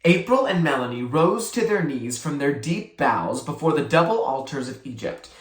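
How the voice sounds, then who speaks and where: A reader giving an expressive, dramatic read-aloud of a children's story. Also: mid-range voice, normal speed. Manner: animated, dramatic. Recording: roomy, echoey sound.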